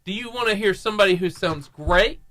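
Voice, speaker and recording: A man's voice: sits high, a little fast, sharp tone, slight background noise. A playful male radio host, joking and bantering with his co-hosts. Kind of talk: casual banter.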